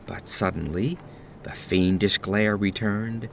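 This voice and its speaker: A man's voice: lowish voice, slow pace, gravelly, slight background noise. A man playing a haunted aristocrat who narrates a gothic horror audio drama in formal, theatrical, ornate phrasing. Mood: ominous, intense. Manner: formal, theatrical, expressive delivery. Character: haunted aristocratic man recounting terror in grave, ornate words.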